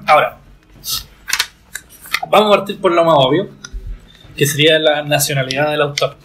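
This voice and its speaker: A man's voice: fairly high pitch, normal speed, a bit bright. A man co-hosting a casual podcast, steering the topic with light teasing. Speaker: podcast co-host. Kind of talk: casual chat.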